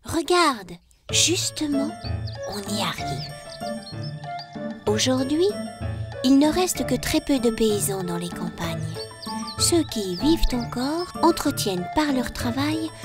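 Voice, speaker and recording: A kindly woman guide, narrates for children in an educational cartoon. Her voice is fairly high and swings with expression as she explains. Music plays loudly underneath. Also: sing-song, takes it slowly, long pauses, heavily acted. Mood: explanatory. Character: kindly, lively female guide telling children history. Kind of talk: scripted storytelling narration for children.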